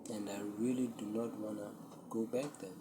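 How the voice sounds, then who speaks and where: A young man, a Zulu speaker, hosting his own podcast and talking earnestly and reflectively to a camera with many pauses. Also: on the low side, steady tone, background noise. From South Africa. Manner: slow, earnest, self-searching, with pauses. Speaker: podcast host. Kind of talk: solo reflective talk to camera.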